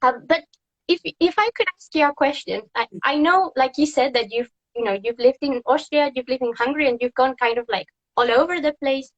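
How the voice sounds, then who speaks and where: A woman's voice: high-pitched, fairly quick, dull audio. A woman hosting a casual interview as a tour guide and food historian, chatty and laughing often.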